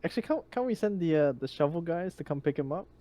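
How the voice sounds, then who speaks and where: A man's voice: a little high, expressive, fast, warm tone. A man talking casually as a player in a tabletop roleplaying game.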